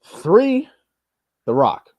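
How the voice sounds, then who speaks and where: A man with a slightly high voice and very lively intonation, chatting as a podcast guest. He is blunt and slangy with his opinions, and the sound is dry. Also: very slow, no room sound. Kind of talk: casual chat.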